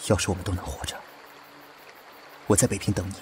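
A man's voice: sits low, little pitch movement, fast talker, darkish tone, slight background noise, lightly acted. A man playing an earnest, resolute young soldier with heartfelt, solemn delivery in a radio drama. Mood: tender, grave. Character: earnest young male soldier, secret communist, loving and resolute. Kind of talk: Chinese fan radio drama acting.

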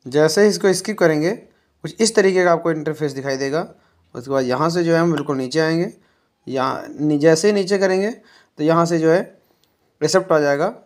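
A man calmly walking viewers through a phone reset step by step, often filling pauses with "jo hai". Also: a little high. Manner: unhurried, explanatory, fills pauses with "jo hai". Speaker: tech tutorial presenter.

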